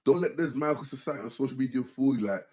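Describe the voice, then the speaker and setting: A man, co-hosting a casual podcast chat, animated, often saying "bro" and "like" and restarting his sentences. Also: medium pitch, quick pace. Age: 20s. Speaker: podcast co-host.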